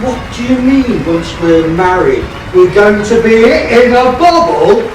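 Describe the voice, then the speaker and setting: A man's voice: high voice, lively intonation, a little slow. A man playing a reluctant comic pantomime bridegroom, protesting in an exclamatory, panicky manner. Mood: alarmed. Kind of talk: broad pantomime comedy. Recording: distant, very echoey, clear background noise.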